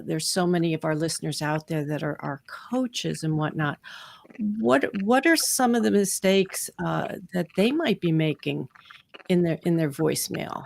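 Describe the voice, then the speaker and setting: A woman hosting a casual interview, feeling her way haltingly into questions with repeated words. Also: lowish voice, creaky. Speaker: interview host.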